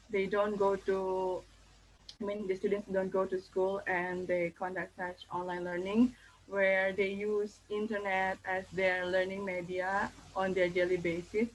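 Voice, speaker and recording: Young woman, Indonesian accent, thesis defense talk, slow pace, halting with fillers, faint background noise. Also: mid-range voice, rough recording. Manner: careful, hesitant, many fillers and restarts. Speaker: thesis candidate.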